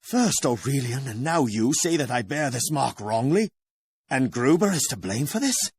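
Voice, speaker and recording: An actor playing a young, earnest and wary noble commander in a fantasy game, speaking with dramatic intensity. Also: male voice, medium pitch, sing-song, normal speed, a little mellow, husky, no room sound, clean studio sound, heavily acted. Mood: wary, questioning. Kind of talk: fantasy game cutscene acting.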